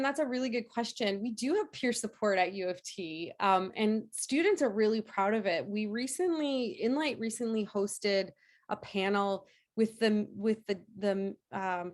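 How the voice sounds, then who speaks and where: A woman professor answering audience questions after a lecture, hoarse from a cold, with lots of fillers and restarts. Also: mid-range voice. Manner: hesitant, many fillers and restarts. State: hoarse, getting over a cold. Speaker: nursing professor giving a lecture.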